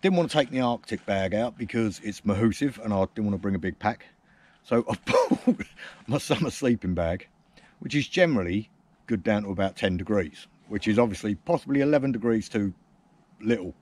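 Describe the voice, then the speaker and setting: A man's voice: fairly low pitch, expressive, fairly quick, a little mellow. A man, chatting casually to camera at a woodland camp, teasing his mate and mocking himself. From England. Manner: cheeky, teasing banter with dry self-mockery. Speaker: camping vlogger. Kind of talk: casual outdoor chat to camera. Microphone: camera mounted close on a tripod.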